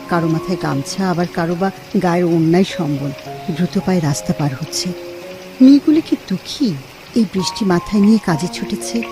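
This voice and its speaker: A woman's voice: medium pitch, lightly acted. A woman acting a lonely, devoted housewife in a Bengali radio drama, speaking expressively and tenderly.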